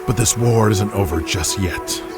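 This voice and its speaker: A man's voice: lowish voice, unhurried, over loud music. A man playing a ruthless, gloating wrestling empire boss in an audio drama, pompous and menacing as he makes his speeches. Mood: menacing, contemptuous.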